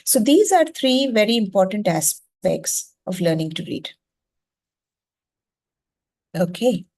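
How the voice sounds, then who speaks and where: An Indian-accented woman, a native Gujarati speaker, teaches in an explanatory style. She speaks at a middle pitch for a woman. She leans on repeated phrases for emphasis and often checks in with a quick rhetorical question. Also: big pitch swings, darkish tone. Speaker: educator leading a masterclass on reading.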